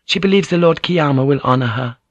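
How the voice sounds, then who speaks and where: A man's voice: fairly high pitch, fairly quick, no room sound, lo-fi sound, voice pushed up, close to an everyday voice. A man voicing a polite, dutiful young maidservant with a deferential, formal manner, in an audiobook.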